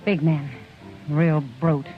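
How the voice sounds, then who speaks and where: A woman's voice: sits low, flat delivery, takes it slowly. An earnest, pleading frontier saloon woman who is worried about a friend. Her lines have a 1950s radio drama delivery. The recording is a dull vintage broadcast with faint music.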